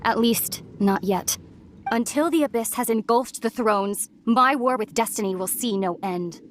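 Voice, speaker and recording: A woman's voice: a little high, measured pace, slight background noise, close to an everyday voice. A woman playing a solemn, resolute young princess and long-lost sister, speaking earnestly and with measure in a dramatic video game. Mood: wistful, resolute. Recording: clear game music behind the voice.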